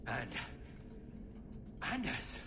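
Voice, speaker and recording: An actor playing a stern, guarded, dutiful warrior scout with a grave manner in a fantasy video game. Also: male voice, drawn-out words. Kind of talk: fantasy game cutscene acting. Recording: noisy ambience behind dialogue.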